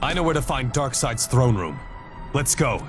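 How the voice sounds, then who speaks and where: A man playing a stalwart, self-assured, powerful superhero in a video game, calm and determined. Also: mid-range voice, moderate pace, music in the background, natural voice.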